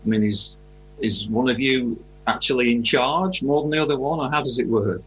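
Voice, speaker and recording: A male radio interviewer with a husky voice of medium pitch. He puts his questions informally and haltingly, feeling his way through each one. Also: a bit bright, rough recording. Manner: hesitant, halting.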